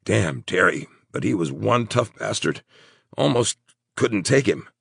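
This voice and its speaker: A man voicing a wounded, groggy tough man in an audiobook, hoarse, mumbling and halting. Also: on the low side, lively intonation, no room sound, clean studio sound, pitched above the performer's own voice, heavily acted. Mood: shaken, intense.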